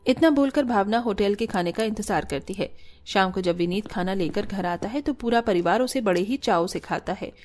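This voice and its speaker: A woman reading scripted narration for an animated family story. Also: mid-range voice, moderate pace.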